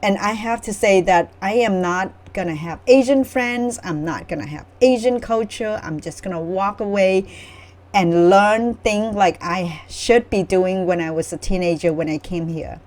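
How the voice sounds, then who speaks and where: A woman's voice: mid-range voice, normal speed, darkish tone. A middle-aged woman from Vietnam, telling her personal story in an earnest, reflective way as a guest author. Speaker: memoir author, panel guest.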